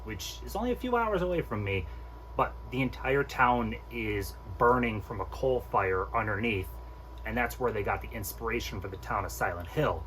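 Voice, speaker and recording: Casual low-voiced man, noisy recording. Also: animated, normal speed, fairly bright tone. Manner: informal, opinionated, mildly sweary. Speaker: host of a movie countdown video. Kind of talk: casual review to camera.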